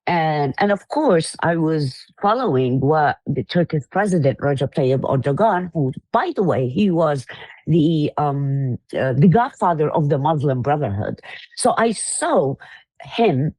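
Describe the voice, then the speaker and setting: A woman from Iraq in her fifties, telling her personal story as an interview guest, earnest and halting with frequent fillers. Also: sits low, animated, dark tone, no room sound.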